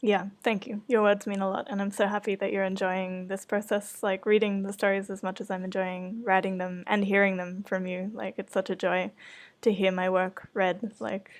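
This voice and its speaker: Female podcast writer and co-host, medium pitch, informal and bubbly, given to laughing as she chats live. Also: flat delivery, normal speed. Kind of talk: casual live event chat.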